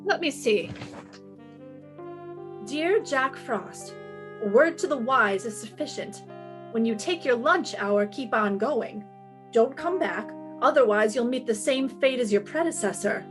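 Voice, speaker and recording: Woman acting a sassy high-voiced lady detective. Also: lively intonation, a little slow, over music. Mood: unruffled. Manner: breezy, wry, commanding. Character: sassy, confident female private detective. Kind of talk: 1950s radio detective drama, scripted.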